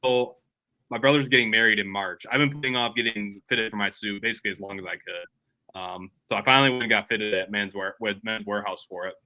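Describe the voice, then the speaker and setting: A man's voice: on the low side, steady tone, fairly quick, sharp tone, poor audio. A man co-hosting a casual sports podcast, telling rambling stories and laughing often. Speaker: podcast co-host. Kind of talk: casual chat.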